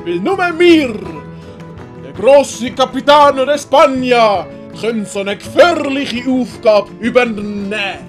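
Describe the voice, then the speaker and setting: Woman playing a slow, pompous Spanish captain. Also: on the high side, animated, soft background music, voice pushed up. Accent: Swiss German. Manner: pompous, drawn-out, commanding. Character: pompous, boastful, bossy male Spanish military captain. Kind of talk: comic commedia dell'arte audio play.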